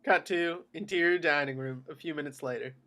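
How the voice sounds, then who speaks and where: Male narrator, fairly high, bright forceful tone, reading scripted action description. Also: a little fast. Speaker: narrator reading stage directions. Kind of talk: scripted narration for a table read.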